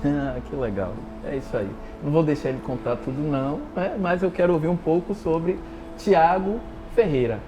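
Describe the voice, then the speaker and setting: A man's voice: on the high side, normal speed, music in the background, poor audio. A man hosting a talk show, presenting and asking guests questions in a conversational way.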